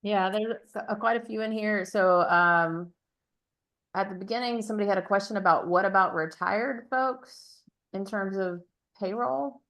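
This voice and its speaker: A woman's voice: mid-range voice, moderate pace, fairly bright tone. A woman informally moderating a meeting, relaying questions from the participants. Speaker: moderator reading out audience questions.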